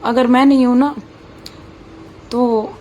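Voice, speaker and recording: A hoarse-voiced woman, chatting away to her viewers as she works in her kitchen. Her voice sits a little high and stays fairly flat in tone, and she drops in "bhai" over and over in a loose, homely way. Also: takes it slowly, a little hiss or hum. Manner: chatty, keeps saying "bhai", talks while cooking. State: hoarse, sore throat. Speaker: vlogger. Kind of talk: casual vlog chat.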